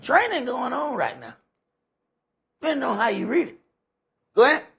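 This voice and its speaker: A man's voice: very high-pitched, huge pitch swings, normal speed, gravelly, a bit bright, breathy edge. A man teaching scripture in an informal sermon, conversational and emphatic, repeating phrases for effect. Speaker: priest teaching a Bible study.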